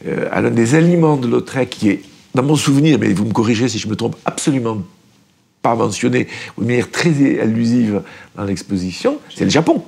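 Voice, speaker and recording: A man's voice: mid-range voice, animated, fairly quick, vocal fry, darkish tone, breathy edge. A man speaking as an art critic in a panel discussion, digressive and wry, with formal wording. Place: TV studio.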